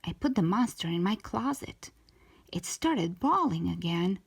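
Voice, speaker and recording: Storytime host, female: very lively intonation, slightly husky, unhurried, expressive children's narration. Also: on the low side. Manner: expressive, drawn-out emphasis for young listeners. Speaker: storytime host reading a children's book aloud.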